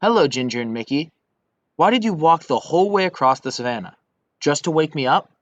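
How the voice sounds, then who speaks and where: Male reader as wise storybook lion, polite, a touch grumpy, measured, dull dry recording. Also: a little high, lively intonation, no room sound, lightly acted. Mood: teasing.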